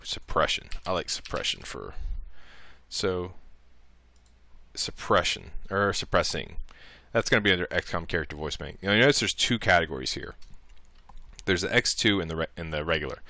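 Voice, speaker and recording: A man with a low voice walks through a software tutorial in a casual, informal way. His tone is bright and the sound is dry and close, and he thinks aloud as he goes. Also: no room sound. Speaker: tutorial narrator.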